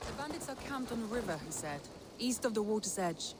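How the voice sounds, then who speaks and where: An actress playing a spirited, restless Viking woman in a video game, wry and expressive. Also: on the high side, quick pace, noisy background, lightly acted. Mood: decisive. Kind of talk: video game dramatic acting.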